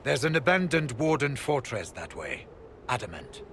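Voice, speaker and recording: A principled, grave warrior from a fantasy game. His creaky, forceful voice is measured and solemn, over faint background noise. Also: mid-range voice, big pitch swings. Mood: purposeful. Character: grave, principled male warrior of a fallen order. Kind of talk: video game cutscene acting.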